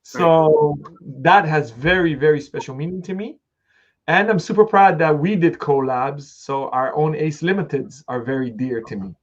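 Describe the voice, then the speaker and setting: Middle aged guy hosting a chat, voice a bit high, thinks out loud, sound kinda muffled. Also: moderate pace. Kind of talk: conversational live interview.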